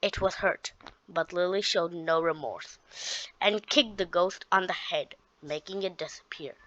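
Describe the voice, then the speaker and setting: A woman reading her own story aloud, halting often and restarting her sentences. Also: on the low side, fairly bright tone, dry recording. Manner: halting, with frequent restarts and repeated words. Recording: dull, dry lo-fi recording.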